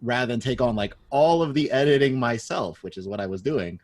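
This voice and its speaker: A man chatting casually on a panel as a podcaster, talking in a candid, informal, somewhat halting way. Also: mid-range voice, no room sound.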